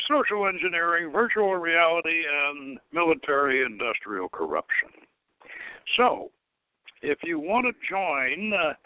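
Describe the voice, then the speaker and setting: A man hosting a talk radio show in a measured, sometimes halting way, introducing and reading from his notes. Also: fairly high pitch, no room sound. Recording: tinny phone-like line, dry.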